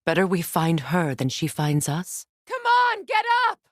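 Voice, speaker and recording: A woman playing a proud, wounded warrior goddess and queen in a video game, measured, regal and dry. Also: lowish voice, huge pitch swings, no echo, full character voice. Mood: serious, resolute, then urgent, shouting. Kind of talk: dramatic video game voice acting.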